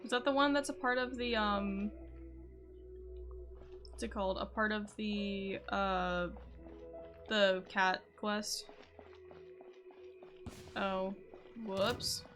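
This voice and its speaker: A woman's voice: medium pitch, fairly even intonation, slow pace, pauses often, a bit bright, faint music behind. A woman livestreamer chatting casually as she plays, rambling informally to herself and her viewers. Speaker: game livestreamer. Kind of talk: casual chat while playing.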